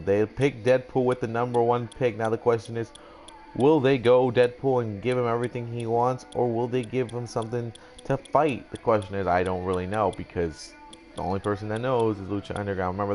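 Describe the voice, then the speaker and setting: A man's voice: lowish voice, fairly even intonation, brisk, darkish tone. A young man doing casual, rambling mock-announcer commentary for a video game draft, swearing now and then. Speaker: gaming YouTuber.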